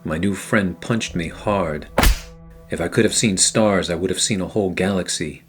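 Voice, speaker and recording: An actor playing a hardboiled, wisecracking private detective, narrating a 1940s noir radio play in a deadpan, wry way. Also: male voice, low-pitched, normal speed, music in the background, lightly acted. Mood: wry, battered.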